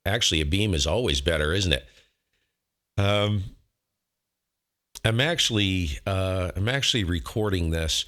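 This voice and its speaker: A man with a low-pitched voice talks as a ham radio operator hosting his own contacts. He is casual and folksy, rambling along in a self-deprecating way. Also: a bit bright.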